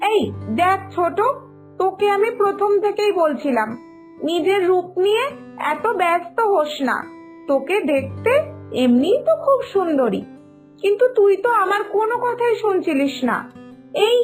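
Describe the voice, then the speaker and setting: A woman voicing a sensible, patient elder sister-in-law who gently chides, in an animated moral story. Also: very high-pitched. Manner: chiding, patient, big-sisterly.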